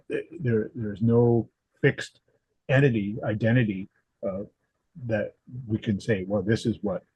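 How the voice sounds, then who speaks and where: Older guy in his 70s from socal, low husky voice, lots of uhs and restarts, dry dull sound. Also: dry recording. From Southern California. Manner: thoughtful, halting, many fillers and restarts. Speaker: guest, historical society president. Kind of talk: reflective interview answers.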